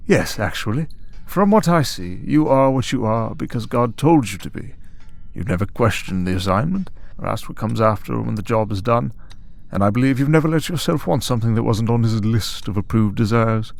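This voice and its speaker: A man's voice: medium pitch, animated, moderate pace, vocal fry. A man playing an urbane, taunting vampire villain in an audio drama, speaking in a mocking, leisurely, theatrical way. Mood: persuasive. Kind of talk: scripted audio roleplay drama.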